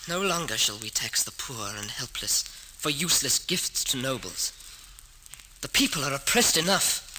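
A man's voice: medium pitch, animated, normal speed, bright, forceful tone. An actor playing an earnest, guilt-ridden young pauper mistaken for king, in formal old-time radio drama style. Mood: firm, indignant. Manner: formal, archaic, impassioned delivery. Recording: noisy old radio recording, long reverb.

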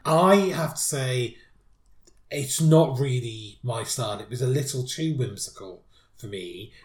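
A man co-hosting a podcast, chatting thoughtfully about songs, with frequent restarts. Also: medium pitch. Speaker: podcast co-host. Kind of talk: casual chat reviewing songs. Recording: roomy echo.

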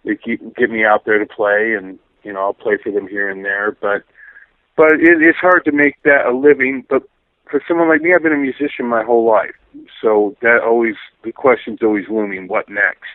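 A man's voice: lowish voice, steady tone, no echo. Middle-aged man on a tinny phone line. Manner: halting, thoughtful, frequent pauses. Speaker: interview guest, musician and teacher. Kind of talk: reflective conversational interview. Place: remote phone call.

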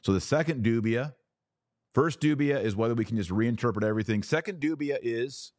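A man giving explanatory religious commentary as a podcast host, speaking in an emphatic, deliberate teaching manner and repeating phrases for effect. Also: medium pitch, a little mellow, no echo, clean studio sound.

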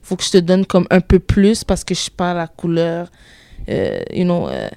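A woman's voice: lowish voice, wildly swooping pitch, normal speed, creaky, warm tone, smooth, no echo. A seventeen-year-old girl from Quebec with a Québécois accent, speaking candidly in a casual group discussion and slipping in English words. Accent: Québécois French. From Quebec, Canada. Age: teenager, 17. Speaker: student guest.

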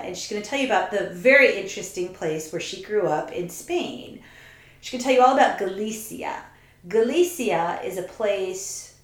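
Woman host doing the episode intro, slow and upbeat, lowish voice, echoey. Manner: upbeat, emphatic, slow delivery. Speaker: podcast host. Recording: echoey room.

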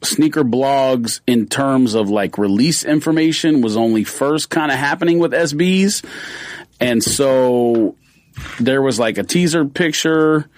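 A man's voice: mid-range voice, unhurried, no room sound. A man co-hosting a casual podcast, teasing and blunt, who trails off while searching for words. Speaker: podcast co-host. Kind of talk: casual sneaker podcast chat.

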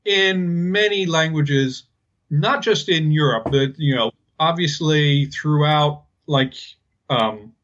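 A man co-hosting a podcast, talking casually and haltingly as he explains things. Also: fairly high pitch, fairly bright tone, muffled recording. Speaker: podcast co-host. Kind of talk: casual linguistics discussion.

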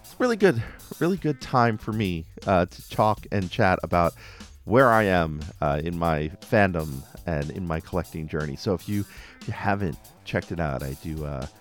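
A man's voice: deep voice, expressive, normal speed. A man hosting a casual fan podcast, recapping cartoon episodes in an enthusiastic, conversational way. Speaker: podcast host. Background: music under the voice.

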